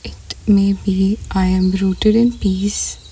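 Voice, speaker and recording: A woman leading a scripted guided meditation in a soft, breathy voice that often nears a whisper, unhurried and measured with pauses between short phrases. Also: medium pitch, level tone, slight background noise.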